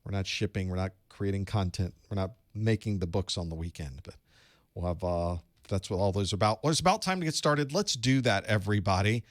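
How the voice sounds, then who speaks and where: A man with a lowish voice hosts a live online study group from a studio room, talking into a studio microphone. He is easygoing and chatty, greeting viewers by where they are joining from and slipping in light jokes. The sound is close and dry, with no room echo. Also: animated, a little fast. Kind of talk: casual live-stream chat and instruction. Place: home studio room with electronics.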